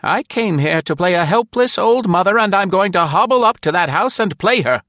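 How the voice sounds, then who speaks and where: A man's voice: high voice, lively intonation, moderate pace, fairly bright tone, no echo, studio quality, pitched above the performer's own voice. A man voicing a bossy, defiant older woman who speaks majestically and firmly, in a storybook reading. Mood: imperious. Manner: majestic, firm, indignant.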